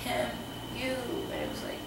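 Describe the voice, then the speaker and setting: A young woman playing a girlfriend breaking up with her boyfriend in an amateur short film, talking in a halting, conversational way. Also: a little high, wildly swooping pitch, creaky, heavily acted. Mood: awkward, apologetic. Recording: very echoey room, distant mic, noisy.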